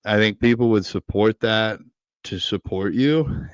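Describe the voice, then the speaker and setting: A man's voice: on the low side, a little slow, no echo, dull audio. A man from the United States hosting a podcast, asking questions and encouraging his guest in casual talk. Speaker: podcast host.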